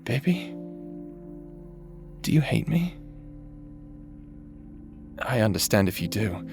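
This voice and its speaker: A man's voice: lowish voice, very animated, slow pace, vocal fry, soft background music, full character voice. A man voicing a remorseful necromancer husband who now haunts his partner's memory, speaking tenderly and teasingly in a roleplay audio drama. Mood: wistful. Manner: tender, teasing, softly spoken.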